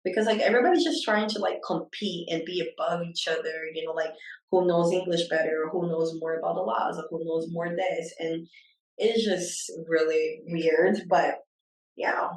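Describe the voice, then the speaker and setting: A woman's voice: on the low side, fairly even intonation, moderate pace, roomy sound, far from the mic, poor audio. A young adult woman from Brazil, a podcast guest talking casually and filling her speech with "like". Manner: chatty, frequent filler "like". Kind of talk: casual conversation.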